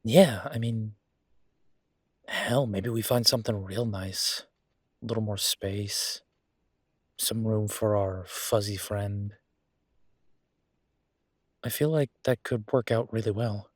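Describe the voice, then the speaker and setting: A man's voice: lowish voice, fairly even intonation, slow pace, pauses often, dry recording, studio quality. A man playing an affectionate young werewolf boyfriend in an intimate audio roleplay, talking in a casual, hesitant, rambling way. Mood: thoughtful, hopeful.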